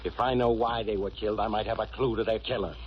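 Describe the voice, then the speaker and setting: A man's voice: lowish voice, fast, close to an everyday voice. Man acting a blustering radio police inspector, muffled recording. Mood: suspicious, needling. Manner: blustering, sarcastic. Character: gruff, blustering, suspicious male police inspector. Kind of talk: 1940s radio detective drama acting. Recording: muffled old radio recording, steady hiss.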